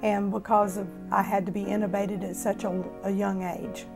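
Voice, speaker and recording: A woman in her fifties from Florida with a hoarse, raspy voice, telling her own life story in a plain, unhurried way. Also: medium pitch, little pitch movement, loud music behind the voice. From Florida, USA. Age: 50s. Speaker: testimony speaker.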